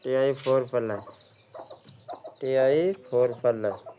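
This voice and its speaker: A man's voice: mid-range voice, slow, dark tone, a little hiss or hum. A man with an Indian accent teaching for an exam, reading quiz questions and answers aloud and often repeating them.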